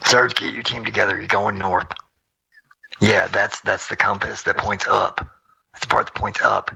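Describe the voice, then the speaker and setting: Gravelly man in voice acting outtakes. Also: on the low side, fairly even intonation, normal speed, sharp tone, poor audio. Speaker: audio drama performer. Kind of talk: voice acting outtakes with muttered retakes and asides.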